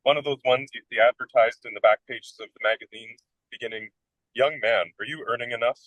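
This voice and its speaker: Man playing young male writer, bright sharp tone, dry sound, self-deprecating, wordy, whimsical, radio play comedy. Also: mid-range voice, fairly even intonation, brisk, dry recording, close to an everyday voice, poor audio. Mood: admiring, restless. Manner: wry, wordy, theatrical.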